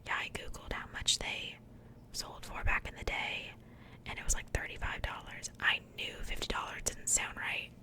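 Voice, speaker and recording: A woman whispering softly and breathily in a casual, gentle ASMR style, chatting conversationally close to the microphone. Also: normal speed. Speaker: host of an ASMR doll unboxing channel.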